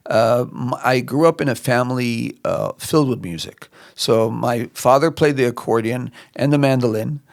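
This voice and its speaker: A middle-aged man from Lebanon talks as a podcast guest, his voice gravelly with fry. He is reflective and unhurried, trailing into fillers and restarting his sentences. The sound is dry and close. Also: mid-range voice, dry recording. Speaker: musician guest. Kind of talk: relaxed interview answers. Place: podcast studio.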